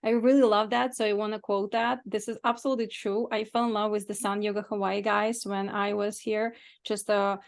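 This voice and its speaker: A woman's voice: mid-range voice, little pitch movement, a little fast, gravelly, few pauses. A woman hosting a casual interview, chatting in an effusive, complimentary way with her guest.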